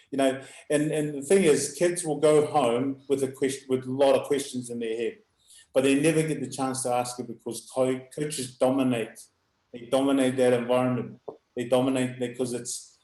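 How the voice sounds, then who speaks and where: A middle-aged man with a loud, booming voice, a youth rugby coach talking in halting bursts with frequent laughs. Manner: halting, restarts, laughs often. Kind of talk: live video call chat. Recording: echoey room.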